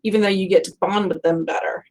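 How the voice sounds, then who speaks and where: A woman's voice: medium pitch, steady tone, quick pace, a little mellow. A woman hosting a casual book chat, rambling along in a conversational, self-deprecating way. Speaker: host of a book fan live show.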